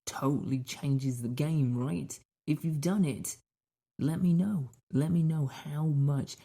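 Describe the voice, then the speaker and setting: A man's voice: medium pitch, vocal fry, mellow, slightly rough. A man hosting a podcast in casual, chatty style, playfully repeating phrases and putting rhetorical questions to listeners. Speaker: podcast host.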